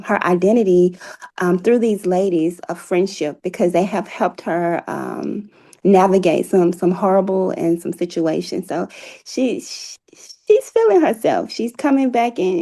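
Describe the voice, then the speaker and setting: A woman's voice: mid-range voice, a little slow, dark tone. An American woman speaking as an interview guest in an earnest, encouraging, motivational way. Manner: encouraging, faith-filled. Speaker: guest, stage play cast member and organizer.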